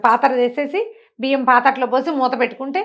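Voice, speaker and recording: పై స్థాయి గొంతుతో కథ చెప్పే మహిళ. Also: female voice, sits high, steady tone, fast, lo-fi sound. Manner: colloquial, asks rhetorical questions as she goes. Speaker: storyteller. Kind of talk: conversational oral storytelling.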